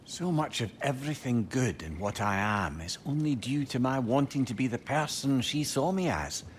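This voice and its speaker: An actor with a Scottish accent playing an earnest, eloquent, lovelorn sage in a video game. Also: male voice, mid-range voice, animated, normal speed, slight background noise, natural voice. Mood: earnest, wistful. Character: eloquent, tender, lovelorn male sage, former scoundrel. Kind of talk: dramatic video game dialogue. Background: music.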